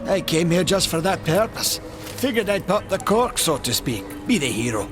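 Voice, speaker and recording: An actor playing a good-natured young giant blacksmith in a video game, folksy and earnest. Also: male voice, fairly high pitch, big pitch swings, moderate pace. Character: eager good-natured young Maker smith, brawny giant. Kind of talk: video game character acting. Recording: game music behind.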